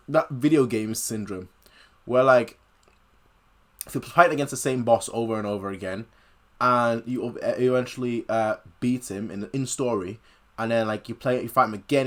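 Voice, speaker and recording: Bright-voiced man giving casual, emphatic commentary. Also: medium pitch. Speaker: reaction video host.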